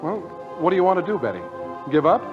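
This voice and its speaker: An actor playing an earnest, good-natured, slightly exasperated young farmer husband in 1940s radio comedy style. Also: male voice, sits high, big pitch swings, quick pace. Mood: exasperated, defensive. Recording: dull old radio recording, music, crowd.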